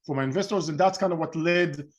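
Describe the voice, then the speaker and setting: Webinar host: male, middle-aged, Israeli accent, slightly higher voice, informal and halting delivery with frequent rephrasing. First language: Hebrew. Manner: halting, rambling, often rephrasing mid-sentence. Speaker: webinar host and real estate investment coach.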